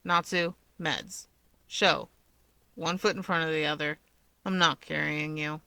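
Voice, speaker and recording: A gruff, commanding eldest brother, played by a woman reading a story. He is curt and dismissive yet caretaking, with a forceful edge and a dry, close sound. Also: deep voice, steady tone, measured pace, no echo, exaggerated voice. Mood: curt, bossy.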